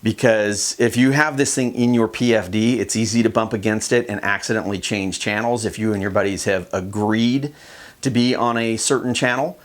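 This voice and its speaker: A man's voice: on the low side, fairly even intonation, moderate pace. A man giving a casual, plain-spoken instructional demonstration in a conversational way. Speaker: kayak fishing instructor.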